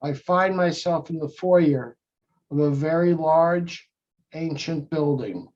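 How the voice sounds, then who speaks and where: A man leading a guided meditation, speaking in measured short phrases with long pauses between them. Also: a little high, darkish tone. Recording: dull lo-fi audio.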